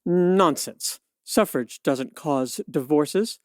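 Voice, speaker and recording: A woman playing a firm, sharp-witted suffragist attorney of 1911, arguing with pointed retorts in a radio play. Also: sits low, animated, drawn-out words, no room sound, studio quality, full character voice. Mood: indignant, pointed. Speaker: debate guest. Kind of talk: pseudo-radio play, argumentative debate.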